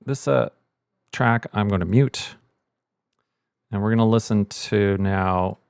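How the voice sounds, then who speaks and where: Low-voiced man giving a dry-sounding tutorial. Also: slow pace, vocal fry, a little mellow, clean tone, no room sound. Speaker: host, sound editor and mixer. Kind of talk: casual tutorial.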